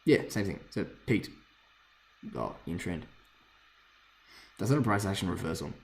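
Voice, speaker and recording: A man with a hoarse voice casually thinking aloud while reviewing trading charts, rambling, swearing freely and correcting himself. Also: low-pitched, expressive, normal speed. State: hoarse, lost voice from screaming. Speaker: forex trader reviewing charts.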